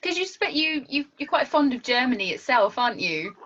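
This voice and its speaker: A woman's voice: sits high, sing-song, brisk, a bit bright. A woman hosting a casual podcast chat, playful and teasing, laughing easily. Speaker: podcast host. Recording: dull lo-fi sound.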